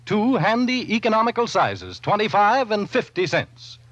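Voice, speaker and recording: A man's voice: high-pitched, very animated, moderate pace, a bit bright, no echo. A man reading a 1940s radio commercial as the announcer, upbeat and salesmanlike. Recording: muffled vintage radio broadcast.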